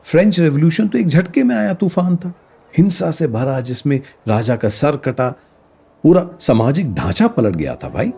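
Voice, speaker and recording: Mellow, animated history teacher. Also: male voice, fairly high pitch, normal speed, gravelly, husky, full character voice. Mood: emphatic. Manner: didactic, rhetorical, peppered with English words. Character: learned male teacher explaining history to a student. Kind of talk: scripted educational radio play dialogue.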